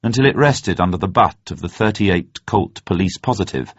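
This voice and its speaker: Low-voiced man narrating a novel. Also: lively intonation, moderate pace, dry recording. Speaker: audiobook narrator. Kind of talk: scripted literary narration of a spy novel. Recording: dull, muffled, dry close recording.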